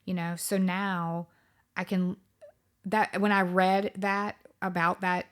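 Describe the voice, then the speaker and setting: Reflective woman, low voice, rambling. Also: little pitch movement, takes it slowly, smooth. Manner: reflective, rambling with many fillers and restarts. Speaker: podcast host. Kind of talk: casual chat.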